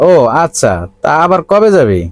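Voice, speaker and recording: A cold, grasping cartoon father, played by a man, in a fairly high voice that swings up and down. He questions and reproaches, and the sound is dry and close. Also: very animated, moderate pace, no room sound. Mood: prying. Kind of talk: cartoon voice acting.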